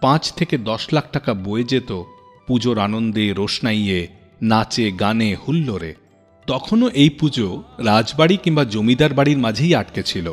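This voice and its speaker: A man narrates a radio program in a practiced storyteller's way, his pitch sitting mid-range but swinging widely for dramatic effect. His voice rings with a long reverb, and soft music plays faintly underneath. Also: normal speed. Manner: expressive, dramatic storyteller pacing. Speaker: radio show host.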